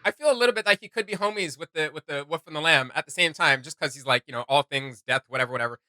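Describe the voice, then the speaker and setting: Very bright, slangy young-sounding man reacting. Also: fairly high pitch, rapid-fire, no room sound, studio quality. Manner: slangy, jokey. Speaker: reaction video host. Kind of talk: casual reaction chat.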